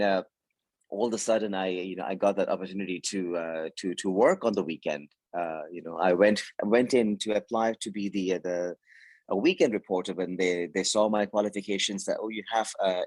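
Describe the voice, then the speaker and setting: A man's voice: lowish voice, darkish tone, no echo. A middle-aged Thai man, a news anchor and TV host whose first language is Thai, answering interview questions with many fillers and repeated words. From Thailand.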